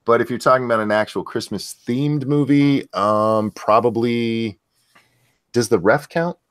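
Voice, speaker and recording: A man with a deep voice hosting a casual livestream chat, reading viewer questions in an easygoing, crude, joking way. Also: a little slow, smooth, no echo, clean studio sound.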